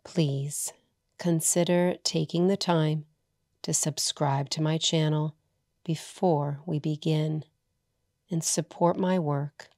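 A woman leading a guided meditation as a yoga nidra teacher, soothing and hushed, leaving long pauses between short phrases. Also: sits low, no echo, clean studio sound.

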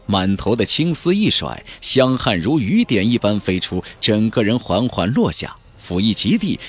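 A man narrating a fantasy audiobook in a theatrical, expressive storytelling manner. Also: lowish voice, slightly rough. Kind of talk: dramatic scripted narration of a fantasy novel. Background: background music.

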